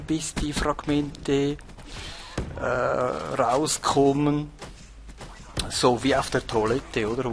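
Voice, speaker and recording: A man's voice: mid-range voice, a little hiss or hum. A Swiss man with a Swiss German accent, rambling bluntly with many fillers while commenting on his own gameplay. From Switzerland. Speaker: gaming channel host.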